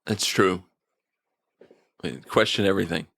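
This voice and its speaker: A man's voice: deep voice, a little slow, creaky, fairly bright tone, no room sound, clean studio sound. A man co-hosting a podcast, casually reviewing a TV episode in a joking manner. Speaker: podcast co-host.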